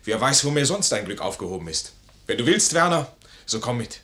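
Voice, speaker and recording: An actor playing a proud, ardent army major in a 1950s German radio play, with earnest, formal stage diction. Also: male voice, medium pitch, very animated, a little fast, a bit bright. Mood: eager. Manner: earnest, theatrical, formal classical phrasing. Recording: noisy 1950s radio recording.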